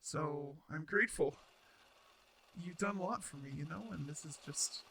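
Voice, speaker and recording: Bright-voiced, shy robot roommate played by a man. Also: fairly high pitch, moderate pace, vocal fry, slight background noise. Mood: gentle instructing. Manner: stammering, hesitant, nervous laughs, sighs. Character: shy, flustered, kindly male robot roommate. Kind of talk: intimate roleplay audio drama addressed to the listener.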